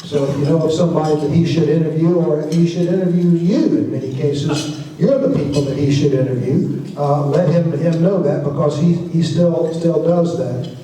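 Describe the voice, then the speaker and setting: A man moderating a club lecture series, introducing and questioning a guest in a relaxed, chatty way. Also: a little high, moderate pace. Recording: very echoey hall, far from mic.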